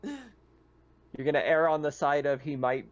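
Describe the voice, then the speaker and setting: A man's voice: fairly high pitch, very animated, a little slow, crowd noise. Guy running a tabletop game, laid back chat and narration, bit dull lo-fi sound. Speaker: game master. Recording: slightly dull, lo-fi mic.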